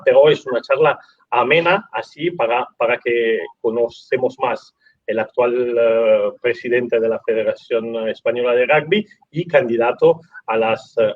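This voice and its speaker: Italian man hosting in halting Spanish. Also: medium pitch, lo-fi sound. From Italy. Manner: halting, many restarts and fillers. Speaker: host. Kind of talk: casual interview.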